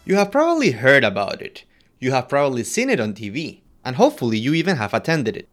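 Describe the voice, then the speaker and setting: A man from Ecuador co-hosts a scripted history podcast. His pitch swings widely and lively from phrase to phrase, sitting in a middle register. Soft music plays quietly underneath. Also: fast. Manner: animated, expressive delivery with wide pitch swings. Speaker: podcast co-host.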